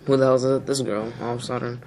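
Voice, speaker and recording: A young man plays an indignant teenage boy in an amateur school skit. He speaks with little melody at an average pitch, over audible room noise. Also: flat delivery, racing, gravelly, darkish tone, natural voice. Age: teenager, high school student. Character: indignant teenage boy telling his side of a fight. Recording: noisy room with background hiss.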